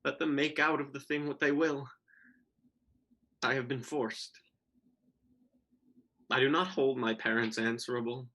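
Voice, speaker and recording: A man playing a bitter, despairing schoolboy, delivering a brooding, deliberate monologue in a stage-play reading. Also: mid-range voice, steady tone, pushed and bright. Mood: bitter, resigned.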